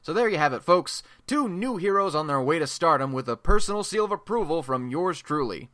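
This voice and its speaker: A man's voice: on the high side, expressive, fairly quick, a bit bright, no echo, studio quality. A man playing a chatty, self-deprecating young American amateur radio blogcaster in a superhero radio play, talking in an informal, wisecracking podcast style. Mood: casual, upbeat. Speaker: radio show host.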